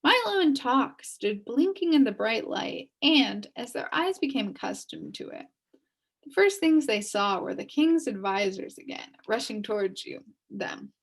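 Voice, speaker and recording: A woman reading a children's story aloud as an expressive narrator. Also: on the high side, measured pace, slightly rough. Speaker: storybook reader.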